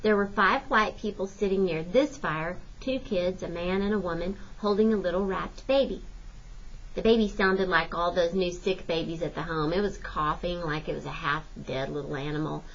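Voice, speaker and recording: A woman reading a folksy first-person novel aloud as expressive storybook narration, like a teacher. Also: fairly low pitch, normal speed, dull audio.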